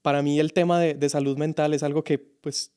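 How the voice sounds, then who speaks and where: Smooth-voiced man, reflective. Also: a little high, flat delivery, dark tone. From Colombia. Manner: reflective, halting with many restarts. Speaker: youth pastor, guest. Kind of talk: casual podcast conversation.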